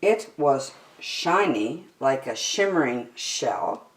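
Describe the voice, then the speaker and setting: A middle-aged woman reading a picture book aloud expressively, as a children's story time host. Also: extremely low pitch. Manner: animated, deliberate. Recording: slightly echoey room.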